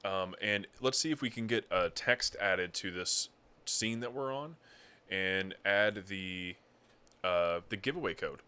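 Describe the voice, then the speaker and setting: Low bright-voiced male streamer. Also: a little slow, clear, resonant tone. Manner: relaxed, explains giveaway details with fillers. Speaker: stream co-host. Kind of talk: casual livestream chat.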